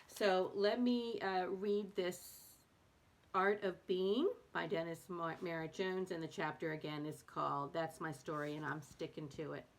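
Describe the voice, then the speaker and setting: A woman hosting a read-aloud, sharing a self-help book in a casual, slightly halting way with small self-corrections. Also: on the low side, steady tone. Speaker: host of a read-aloud series.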